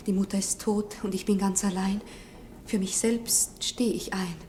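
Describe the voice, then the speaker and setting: An actress playing a pious, innocent young bride-to-be with fervent rapture in a 1960s radio drama. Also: female voice, medium pitch, steady tone, unhurried, natural voice. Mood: pious praying. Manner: fervent, solemn, exclamatory to hushed. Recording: 1960s radio recording, faint hiss.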